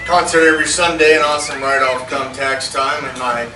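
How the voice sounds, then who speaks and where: A man playing a brash, selfish yuppie at a staged comedy table read, impatient and loud, stammering when flustered. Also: mid-range voice, fairly even intonation, a little fast, lightly acted. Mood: glib. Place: live staged read at a party. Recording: distant mic in noisy, echoey room.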